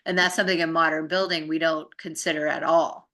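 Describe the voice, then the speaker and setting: A woman with a notably low voice that still sounds bright and forward. She explains her ideas conversationally and earnestly, rambling informally and often checking in with the listener. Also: flat delivery, a little fast. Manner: informal, earnest, rambling with frequent tag questions.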